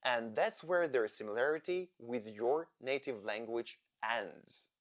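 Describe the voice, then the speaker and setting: A man, explaining English grammar in a slow, deliberate scripted lesson. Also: medium pitch. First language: Ukrainian. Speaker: English teacher.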